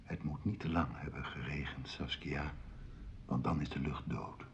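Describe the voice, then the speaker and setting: An actor in a Dutch radio drama playing an intrusive, flattering musician who is a dinner guest with secret desires. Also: male voice, a bass voice, normal speed, creaky. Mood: seductive, intimate. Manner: flattering, florid, provocative. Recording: muffled, noisy old radio play recording.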